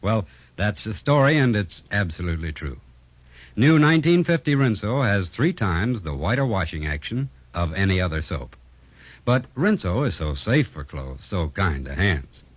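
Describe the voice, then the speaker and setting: A male announcer delivers a polished 1950s radio sales pitch. His low voice rises and falls emphatically, heard dry on a dull vintage broadcast. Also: big pitch swings, normal speed, dry recording. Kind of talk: 1950s scripted advertising read.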